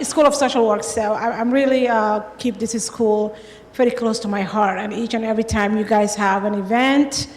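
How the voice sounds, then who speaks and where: A woman's voice: mid-range voice, steady tone, normal speed, a little mellow, slight background noise. A woman panelist introducing herself in a chatty, joking way, laughing often, on a stage in a university hall. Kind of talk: casual self-introduction. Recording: echoey hall.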